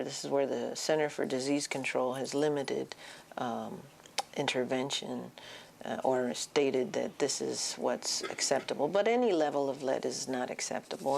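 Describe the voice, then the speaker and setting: A middle-aged woman speaking as a child psychiatrist, giving an informational health talk in a halting, deliberate way. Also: a bass voice, vocal fry, a little mellow, slight background noise.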